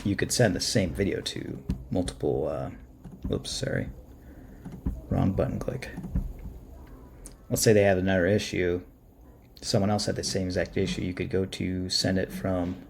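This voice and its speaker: A man with a very low, gravelly voice talks through a software tutorial in an informal way. He pauses and thinks aloud as he goes, unscripted and casual. There is noticeable background noise, with keyboard clicks around his speech. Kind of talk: casual unscripted screen-share walkthrough. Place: indoors at a computer.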